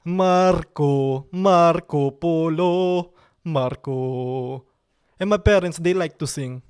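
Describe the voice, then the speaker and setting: A man's voice: a little high, slow pace, a little mellow, clear, resonant tone. Playful man with an Italian accent. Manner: playful, joking, repeats himself. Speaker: livestream host. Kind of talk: casual live-stream chat.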